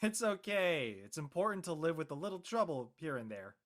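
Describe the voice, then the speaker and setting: A man's voice: on the high side, sing-song, fairly quick, a bit bright. Reassuring, easygoing young man played by an actor. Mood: teasing. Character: devoted, reassuring young man, gently teasing. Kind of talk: acted dialogue in an audio-led play.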